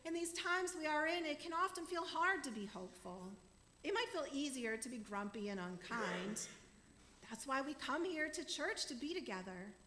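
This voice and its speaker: A woman's voice: high-pitched, expressive, moderate pace, roomy sound. A woman minister giving an earnest sermon to her congregation in a church, saying she is losing her voice. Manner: warm, earnest preaching to a congregation. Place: church sanctuary.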